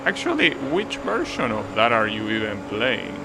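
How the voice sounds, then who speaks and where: A man with an Argentine accent, casually commenting on his racing game to a live-stream chat in a relaxed, musing way. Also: fairly low pitch, moderate pace, heavy background noise.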